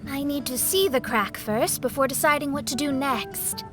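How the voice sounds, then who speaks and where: A woman's voice: high-pitched, moderate pace, music in the background, lightly acted. A woman voicing a proud young female astrologer in an anime-style video game, articulate, earnest and slightly haughty. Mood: explaining.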